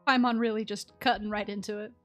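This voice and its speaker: A woman's voice: medium pitch, steady tone, brisk, a bit bright, soft background music. A woman streaming a game with casual, giggly, reactive commentary.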